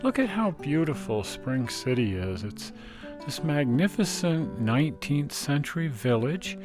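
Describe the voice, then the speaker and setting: A man's voice: on the high side, sing-song, unhurried, over music. A man hosting a travel show, presenting in a casual, conversational style. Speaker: host.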